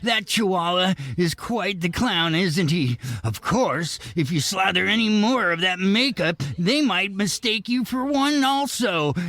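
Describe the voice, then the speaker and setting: A shady, wealthy villain from a noir radio play, oily and sarcastic. His delivery is extremely drawn out and very animated in pitch. He sounds smug and mock-genteel, recorded close and dry. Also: takes it slowly, few pauses, no room sound, heavily acted. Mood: smug, needling. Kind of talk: noir radio drama acting.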